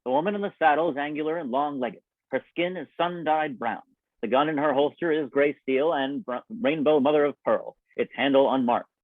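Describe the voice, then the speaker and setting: A man's voice: a little high, fairly quick, no room sound. Wry male showman on thin, phone-like audio. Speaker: host and announcer. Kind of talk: scripted radio-show introduction with ad-libs. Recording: thin, phone-like band-limited sound.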